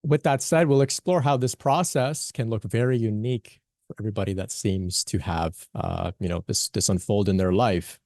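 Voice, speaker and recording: Man with a low voice, earnest spiritual teacher, halting with fillers, dry close sound. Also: darkish tone, no room sound. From born in Pakistan, raised in Canada. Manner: earnest, many fillers and restarts. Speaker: spiritual teacher presenting at a conference. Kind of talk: conference talk, personal story.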